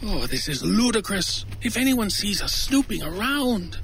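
Grumbling older shopkeeper, lively higher-pitched voice. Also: male voice, a little slow, vocal fry, slight background noise, full character voice. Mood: exasperated, wary. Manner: grumbling, dry sarcasm, weary storytelling. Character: exasperated, skeptical older male antiques dealer. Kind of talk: old time radio drama acting.

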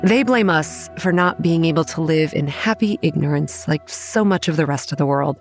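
A woman's voice: low-pitched, moderate pace. Grave, haunted young woman, music behind. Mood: brooding, resentful. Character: haunted, wary young woman running a monster-ridden campground. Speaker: narrator and lead character. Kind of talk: first-person horror audio drama narration.

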